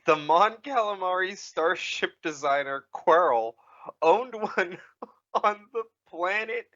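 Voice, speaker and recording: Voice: mid-range voice, animated, slow pace, sharp tone. Podcast cohost reading stuff out n chatting, sweary, very up and down voice, slightly muffled. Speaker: podcast co-host. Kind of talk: casual chat, reading out wiki entries.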